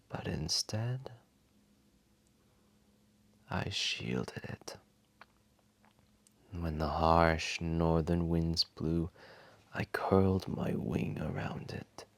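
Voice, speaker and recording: A man voicing an ancient, massive dragon, wise, gentle and remorseful, telling a solemn story to one listener in a gravelly, breathy half-whisper. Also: low voice, no room sound, exaggerated voice. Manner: solemn, deliberate, tender. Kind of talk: slow dramatic storytelling to a single listener.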